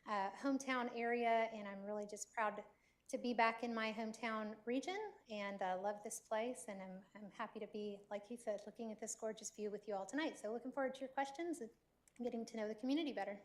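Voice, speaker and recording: A woman from Texas addresses residents in a council chamber with a loud, upbeat, friendly delivery. Her voice is a little higher than most women's. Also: fairly even intonation, fast talker. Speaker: city manager candidate. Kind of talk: public meeting introduction.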